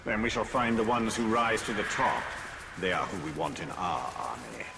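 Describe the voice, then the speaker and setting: Serious warrior boss in a game, real low voice, decisive, noisy background. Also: male voice, steady tone, normal speed, gravelly. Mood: grave, determined. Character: determined, commanding male warrior leader. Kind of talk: video game cutscene acting. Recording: loud background ambience noise.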